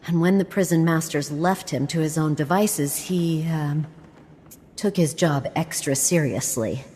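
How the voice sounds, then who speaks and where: Bookish explorer in echoing halls. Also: female voice, low-pitched, unhurried, dark tone, slight background noise. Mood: musing. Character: curious, bookish, uneasy woman explorer. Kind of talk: video game character dialogue. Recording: long echoing reverb.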